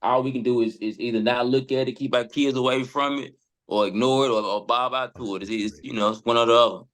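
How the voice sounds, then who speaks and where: A man with an average-pitched voice joins a casual podcast discussion. He speaks in loose slang with some swearing. His delivery is halting, stumbling over repeated words before he lands the point. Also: steady tone, fairly bright tone. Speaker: podcast panelist.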